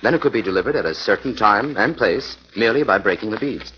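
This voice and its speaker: Brief: a man doing an assured detective, very low voice, muffled old radio sound. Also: lively intonation, fast talker, slight background noise, close to an everyday voice. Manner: confident, assured, gently teasing. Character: male detective hero, confident and teasing. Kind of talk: 1940s radio acting. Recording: muffled 1940s radio recording.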